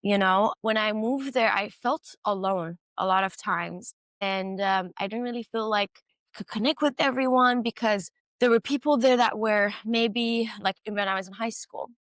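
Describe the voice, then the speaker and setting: A woman's voice: mid-range voice, a bit bright, no room sound. A woman in her twenties, originally from Iran, talking casually and candidly as a guest about her life, with frequent fillers and restarts. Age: 20s. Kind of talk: casual conversation.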